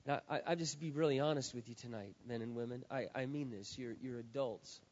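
A man preaching a sermon as pastor, hoarse, swinging from quiet earnest talk to loud impassioned shouting. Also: mid-range voice, a little fast. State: hoarse, says he has lost his voice. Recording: dull sound with long hall reverb.

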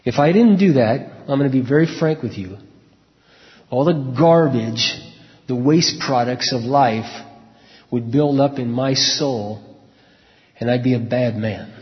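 A man preaching a sermon and telling personal stories in a frank, conversational way, often clearing his throat. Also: mid-range voice, a little slow, pauses often. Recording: echoey hall, dull lo-fi sound.

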